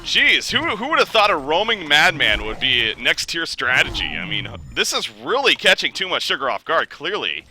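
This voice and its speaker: A man casting an online game match, calling plays with excitement and joking with his co-caster. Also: normal speed, few pauses. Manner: excitable, joking, rising pitch on big plays. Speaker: esports caster. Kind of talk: play-by-play game commentary.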